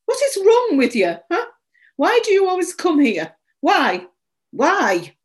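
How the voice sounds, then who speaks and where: A woman voicing a distraught, indignant story character, shrill, accusatory and pleading over her lost dog. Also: very animated, a little slow. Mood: angry, accusatory.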